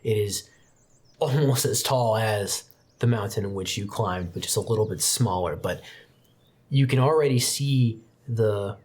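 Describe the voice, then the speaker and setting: A game master narrating a tabletop roleplaying game in an improvised, descriptive, halting way. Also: medium pitch, a little mellow. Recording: music behind the voice. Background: background music.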